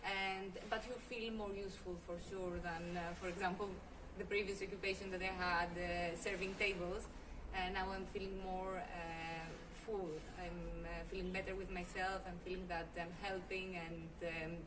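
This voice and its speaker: Young female youth worker, hesitant interview delivery, low and flat tone. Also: moderate pace. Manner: hesitant, many fillers. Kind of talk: reflective interview answers. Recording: distant mic with noticeable background noise.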